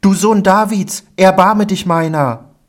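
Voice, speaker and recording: A blind beggar crying for mercy, his voice thrown high and loud above the calm reading, as a male reader puts him on. He pleads with raw urgency, each call strained and pressing. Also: takes it slowly. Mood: pleading.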